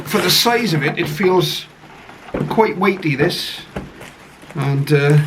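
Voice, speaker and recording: A man giving a casual, low-key first-look commentary on a piece of equipment, speaking hesitantly and without hurry. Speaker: radio hobbyist reviewer. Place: conservatory, later a home radio room. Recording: clearly noisy home recording.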